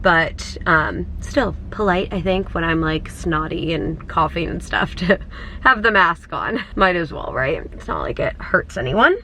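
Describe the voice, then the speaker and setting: A young woman with a slightly low voice talks casually to her camera through her day at home, in a store and in the car. She is candid and full of fillers, and she has a cold. A steady noise hiss runs under her voice. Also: a little slow, poor audio. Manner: chatty and candid, many fillers. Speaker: vlogger. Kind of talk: casual vlog talk to camera.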